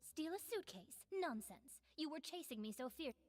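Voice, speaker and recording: A woman's voice: high voice, very animated, normal speed. An actress playing a defiant, evasive female bar owner in a video game, with a haughty, curt delivery. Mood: indignant.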